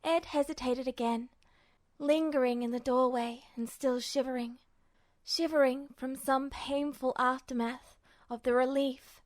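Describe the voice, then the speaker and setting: A woman with a high-pitched voice narrates a dramatic story expressively. The recording is close and dry, with very little room sound, in the manner of an audiobook. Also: dry recording. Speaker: podfic narrator. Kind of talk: expressive scripted fiction narration.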